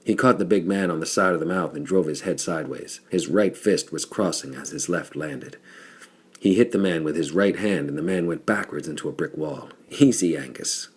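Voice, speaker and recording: A man reading a pulp science fiction audiobook as scripted narration, with a husky, deep voice. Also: fairly quick. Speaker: audiobook reader.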